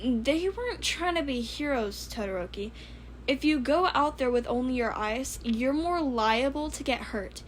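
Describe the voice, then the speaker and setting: Woman voicing a high-voiced, earnest teenage boy. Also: animated, normal speed, slight background noise. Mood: earnest, wary. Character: earnest, quirky, skeptical teenage boy gadget builder.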